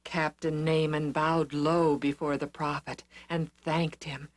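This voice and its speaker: A woman narrating a scripted children's story with simple, expressive phrasing. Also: deep voice, slow pace, slight background noise.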